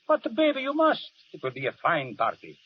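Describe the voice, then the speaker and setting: An actor playing a jaunty, bullying, worldly Italian army captain with an Italian accent in an old-time radio drama, theatrical and teasing. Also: male voice, fairly high pitch, wildly swooping pitch, brisk, slightly rough, heavily acted. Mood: teasing, coaxing. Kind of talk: 1930s-40s radio drama acting. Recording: dull, hissy vintage radio broadcast.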